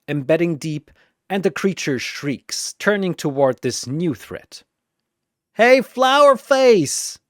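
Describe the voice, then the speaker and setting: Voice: medium pitch, dry recording, clean studio sound. A narrator delivering dramatic scripted battle storytelling in an emphatic, portentous, announcer-like manner.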